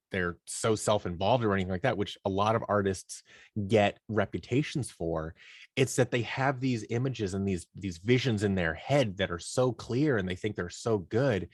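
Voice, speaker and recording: A man with a fairly low voice talks casually as an interview guest. The sound is dry, with very little room on it. He tells things like a storyteller, slipping into imagined bits of dialogue. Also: no room sound. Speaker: interview guest, audio drama producer leading a creative team. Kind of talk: casual conversational interview answers.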